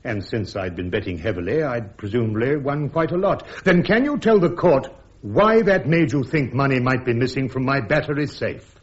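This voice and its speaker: A man playing a defiant, sharp British army major with a clipped, ironic English manner in a radio drama. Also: medium pitch, moderate pace, natural voice. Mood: cutting, insistent. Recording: dull, muffled radio drama recording.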